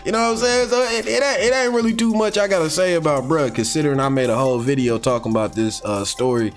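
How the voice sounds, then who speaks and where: An American man giving casual, unscripted fan commentary in a slangy, rambling, excitable way, like an online video creator. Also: a little high, quick pace. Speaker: YouTube commentator on comics and animation. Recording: music playing behind the voice. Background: background music.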